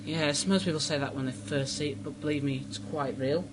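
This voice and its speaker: A man's voice: on the high side, a little fast, noisy background, natural voice. An actor playing an earnest, clever young male time-traveller in a sci-fi radio drama, speaking precisely. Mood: assured. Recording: music under the voice.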